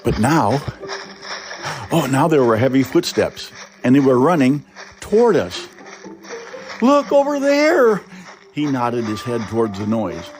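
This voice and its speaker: A man's voice: medium pitch, unhurried, soft background music, low-quality recording. A man reading his own short story aloud in a dramatic, suspenseful first-person narration. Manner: expressive, suspenseful.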